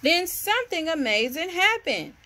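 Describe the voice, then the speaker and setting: A woman in her thirties reads a picture book to children. Her voice is high and lively, with bright tone, as she reads outdoors on a porch. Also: normal speed. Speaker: storybook reader for children. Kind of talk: read-aloud children's story. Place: outdoors on a covered house porch. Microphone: camera or phone at about arm's length.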